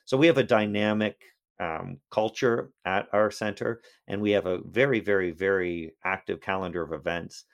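A man's voice: sits low, steady tone, moderate pace, gravelly. A man, a history professor, answering interview questions in a thoughtful way with frequent restarts.

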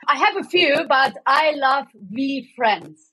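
A woman's voice: sits high, lively intonation, slow pace, pushed and bright, poor audio. A woman from Germany, a guest on a podcast, giving upbeat, emphatic short answers. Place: home setup.

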